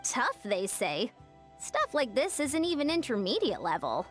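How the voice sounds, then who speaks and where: A woman's voice: fairly high pitch, huge pitch swings, measured pace, soft background music. A woman voicing a tough, upbeat female sports coach in a video game, breezy and self-assured. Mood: confident. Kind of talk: game dialogue.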